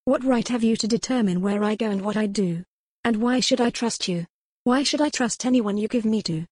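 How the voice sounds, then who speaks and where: A woman's voice: a little high, a little fast, a little mellow, dry recording, pitched above the performer's own voice, lightly acted. A proud, defiant young noblewoman, as a woman narrator gives her voice, sounding spirited and insistent. She speaks in a dry, close recording with no room sound. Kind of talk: audiobook character voice.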